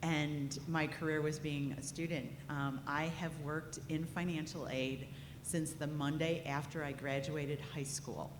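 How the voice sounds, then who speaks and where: A woman's voice: deep voice, flat delivery, unhurried. A woman in her fifties with a strong Midwestern accent, introducing herself chattily as a financial aid trainer. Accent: Midwestern American. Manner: chatty, self-deprecating. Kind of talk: self-introduction at a conference. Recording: echoey conference hall with noise.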